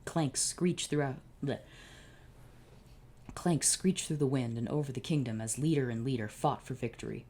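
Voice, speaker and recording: A man's voice: mid-range voice, normal speed, a little mellow, husky. Male host reading fanfiction aloud; stumbling, sarcastic asides. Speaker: channel host.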